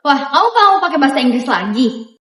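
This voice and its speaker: A woman's voice: sits high, sing-song, fairly quick, a bit bright. A young woman acting an earnest female student in an amateur school skit who explains and corrects her classmates. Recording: roomy, with music underneath.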